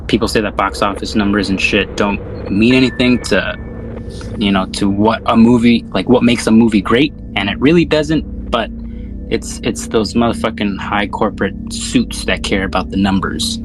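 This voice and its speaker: Low voiced guy rambling casually on a podcast, lots of slang and swearing, music playing behind him. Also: normal speed, rough recording. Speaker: podcast host. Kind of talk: casual film discussion chat.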